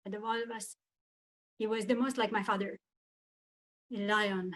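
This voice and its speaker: An actress playing a grieving, embittered wartime Frenchwoman, halting and emotional, with a French accent. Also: medium pitch, fairly even intonation, a bit bright, lightly acted. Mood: sorrowful. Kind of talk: theatrical monologue.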